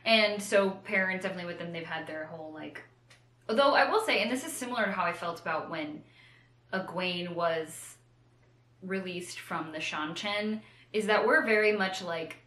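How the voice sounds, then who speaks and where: A woman's voice: on the low side, normal speed, pushed and bright, reverberant. A woman in casual, unscripted chat as co-host of a book show, rambling and self-deprecating, often slipping into acted-out quotes.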